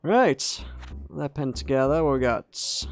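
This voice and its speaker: Young guy streaming a game, rambly and chuckly, gravelly, faint music behind. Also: medium pitch, expressive, takes it slowly, no echo. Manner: rambling, chuckling asides with lots of fillers. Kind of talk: casual gameplay commentary.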